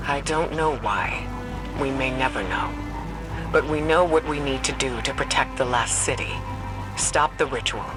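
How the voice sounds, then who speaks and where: A woman playing a resolute, grave commander in a video game, with measured, earnest, commanding delivery. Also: rumbling low. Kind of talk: dramatic video game briefing. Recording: voice under loud game music.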